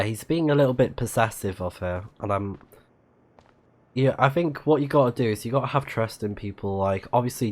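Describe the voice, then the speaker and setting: A man giving casual let's play commentary on a story game, murmuring reactions and reading on-screen text aloud. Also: on the low side. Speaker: streamer playing a story game.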